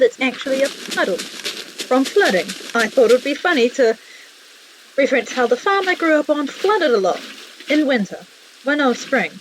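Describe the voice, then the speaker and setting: A woman's voice: sits high, slight background noise, poor audio. A woman with a New Zealand accent giving a casual, chatty voice-over for a gaming build vlog, candid and self-deprecating. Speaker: video creator, narrator.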